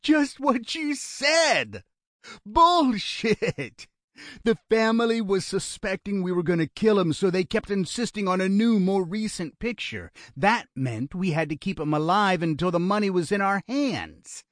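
A man's voice: high-pitched, expressive, measured pace, fairly bright tone, no echo, clean studio sound, voice pushed up. A man voicing a violent, foul-mouthed criminal with an aggressive, sneering delivery for an audiobook thriller. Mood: contemptuous, angry.

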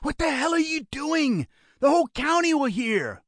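Man performing nervous criminal, high-pitched, urgent, pleading, audiobook thriller, dry sound. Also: measured pace, no echo. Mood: urgent, alarmed. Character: anxious, pleading criminal man, the panicky brother.

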